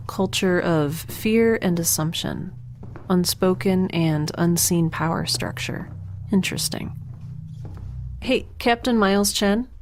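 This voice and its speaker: Over an audibly noisy ambient bed, a young woman living in space in a sci-fi audio drama. Her voice sits on the low side. She is blunt and inquisitive, analysing things in a wry, rambling way. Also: slow pace. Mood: probing, scheming.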